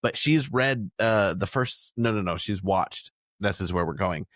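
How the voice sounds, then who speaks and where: A man's voice: low-pitched, lively intonation, a little slow, fairly bright tone, dry recording, clean studio sound. A man co-hosting a podcast, talking in a casual, conversational style. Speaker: podcast co-host. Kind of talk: casual chat.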